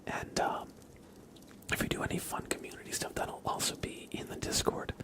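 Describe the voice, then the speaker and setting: A man hosting an ASMR livestream, chatting casually in a soft whisper. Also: normal speed. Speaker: livestream host.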